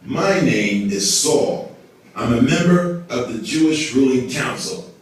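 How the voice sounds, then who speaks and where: A self-important religious official puppet, acted by a man, formal and evasive, with a mid-pitched voice. The sound is very echoey and picked up from far off in a room. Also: lively intonation, slow. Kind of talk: scripted puppet show dialogue. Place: indoor hall, behind a puppet stage. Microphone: far camera in the audience. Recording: echoey room, distant audience camera.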